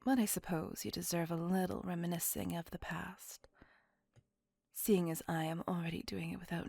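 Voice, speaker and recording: Ancient female vampire played by a woman, ASMR roleplay. Breathy half-whisper, languid and old-fashioned, tenderly possessive, very close and dry mic. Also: on the low side, a little mellow, dry recording. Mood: wistful, reluctant. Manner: formal old-fashioned wording, languid, doting. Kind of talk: ASMR roleplay, intimate scripted monologue. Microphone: close microphone.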